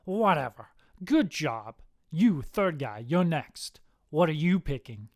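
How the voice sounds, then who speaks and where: A woman's voice: a little high, sing-song, takes it slowly, darkish tone, no room sound, studio quality, voice pushed up. A woman voicing a smug, taunting male Satan in a comic story, with a mocking, commanding manner. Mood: sarcastic, annoyed.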